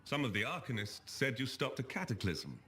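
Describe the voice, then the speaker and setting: An actor playing a cryptic, secretive imperial talent scout in a video game, speaking in a guarded, measured, insinuating way. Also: male voice, medium pitch, sing-song, sharp tone, a little hiss or hum. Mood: intrigued, cryptic. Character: cryptic, secretive man scouting fighters for the Emperor.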